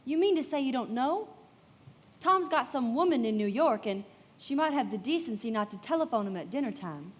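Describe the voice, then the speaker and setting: Casting: bored, blunt, gossipy young society sportswoman, radio play, high voice in a reverberant hall. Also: expressive, fairly quick. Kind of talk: live radio play acting. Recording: echoey hall.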